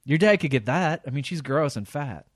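Sardonic podcast co-host, dark humor. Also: male voice, medium pitch, expressive, brisk, breathy edge, no echo, clean studio sound. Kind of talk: crude casual podcast banter.

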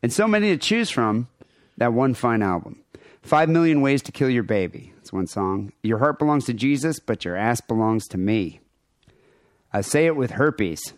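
A man's voice: medium pitch, animated, moderate pace, no room sound, studio quality. A man co-hosting a podcast, rambling casually with crude, irreverent jokes and show plugs. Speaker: podcast co-host.

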